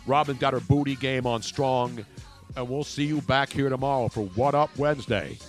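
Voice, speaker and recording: A man's voice: medium pitch, steady tone, normal speed, soft background music. A man from Philadelphia hosting a talk radio show, joking around in mock outrage and rambling. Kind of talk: casual sports talk radio.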